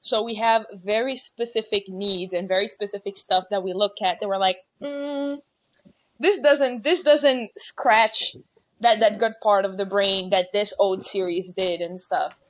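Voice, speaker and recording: A woman's voice: medium pitch, fairly even intonation, measured pace. Brash young woman on a dull line. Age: 20s. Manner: upbeat, candid, brash. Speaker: podcast co-host. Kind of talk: casual panel chat.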